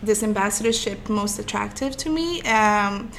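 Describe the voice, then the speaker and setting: A woman from Brazil with a Brazilian Portuguese accent, a teacher answering interview questions thoughtfully, with frequent pauses and ums. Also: medium pitch, animated, creaky, fairly bright tone, a little hiss or hum. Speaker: teacher guest.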